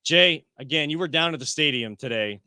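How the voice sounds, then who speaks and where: A man leads a casual sports discussion in a bright, somewhat high voice. He works through his points aloud and restarts his sentences often. The recording is close and dry. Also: animated, brisk, clean tone, dry recording. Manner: thinks aloud with frequent restarts. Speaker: sports podcast host. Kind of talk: casual sports talk show.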